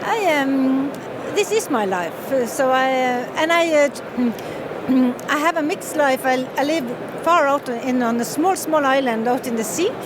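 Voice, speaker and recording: Woman, 50s, Norwegian accent, musician being interviewed outdoors; high voice, hesitant, restarts often; traffic noise behind. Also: animated, unhurried. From northern Norway. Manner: hesitant, many fillers and restarts, laughs often. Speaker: fiddle player and composer. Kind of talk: casual interview. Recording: loud street noise behind.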